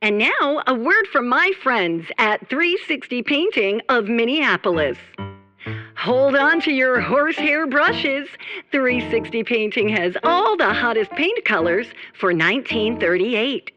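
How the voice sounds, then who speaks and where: A woman playing a perky 1930s radio sponsor pitchwoman in a playful, theatrical parody ad. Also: sing-song, measured pace, sharp tone, heavily acted. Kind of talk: parody vintage radio ad read. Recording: dull vintage radio sound, music behind.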